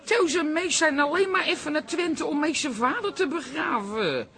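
An actor playing a bossy but soothing pub regular in a radio play, coaxing and chatty. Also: male voice, very high voice, animated, normal speed, bright, forceful tone, exaggerated voice. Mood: soothing, amused. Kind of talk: radio drama acting.

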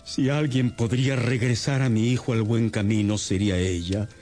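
An actor playing a distressed, respectable middle-aged father, an agitated and earnest gentleman in a radio drama. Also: lowish voice, steady tone, normal speed, close to an everyday voice. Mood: troubled, earnest. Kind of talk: Spanish-language radio drama acting. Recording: music under the voice.

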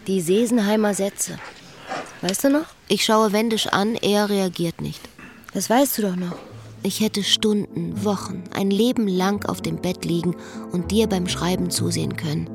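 An actress playing a wistful, lovesick woman, brooding and quietly bitter, in an intimate literary radio drama. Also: medium pitch, steady tone, a little slow, vocal fry, music in the background. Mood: wistful, longing. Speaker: lead actress and first-person narrator.

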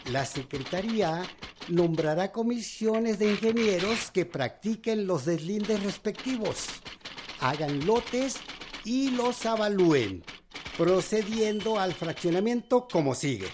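An actor playing a newspaper voice, formally and deliberately reading out old official news in a radio drama. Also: male voice, high-pitched, background noise.

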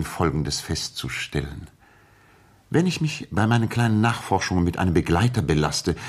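An actor in a 1960s German radio play as a precise, coolly superior detective, measured, pedantic and dry. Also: male voice, rumbling low, breathy edge, exaggerated voice. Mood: dry, reflective. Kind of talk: 1960s German radio play acting and first-person narration.

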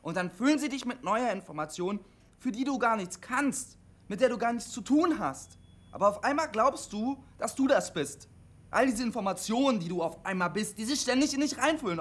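An actor in a German radio drama playing an awkward, defensive loner in his twenties, halting and stubborn as he talks on CB radio. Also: extremely high pitch, exaggerated voice. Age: 20s.

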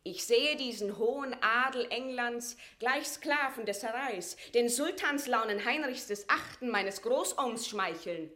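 A proud, high-voiced captive queen. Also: lively intonation, unhurried, hardly any pauses, sharp tone. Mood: scornful, impassioned. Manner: declamatory, formal, impassioned. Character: proud captive Scottish queen, defiant and eloquent. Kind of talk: classical German stage verse radio acting.

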